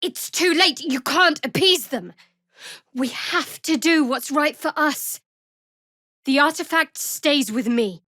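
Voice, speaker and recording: An actress playing a guarded, sardonic young cleric of a dark goddess in a video game, with a dry, wry delivery. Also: female voice, high-pitched, takes it slowly, no room sound, exaggerated voice. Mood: firm. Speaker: game character.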